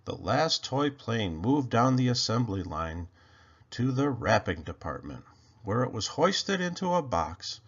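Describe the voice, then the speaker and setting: A man with a gravelly, husky voice narrating a children's story in an expressive read-aloud style. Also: medium pitch, unhurried, highs cut off. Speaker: storybook reader.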